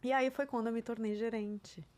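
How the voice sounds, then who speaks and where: A woman's voice: fairly high pitch, steady tone, fairly quick, darkish tone. Thirtysomething woman, german first language, talking things through on a podcast, lots of "né?". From southern Brazil. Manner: reflective, tags sentences with "né?", light laughs. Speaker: interview guest, career mentor. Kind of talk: casual interview chat.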